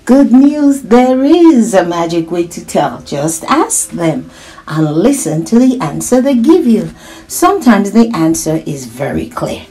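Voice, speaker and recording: A woman hosting a children's read-aloud, animated and encouraging, speaking directly to her young listeners with little check-in questions. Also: medium pitch, a little slow.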